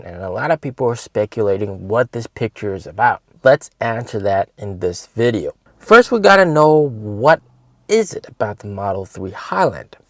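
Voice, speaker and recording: A man's voice: medium pitch, no room sound. A man hosting a casual car review vlog, talking to viewers in an emphatic way and repeating words for stress. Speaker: host of an electric car stock channel.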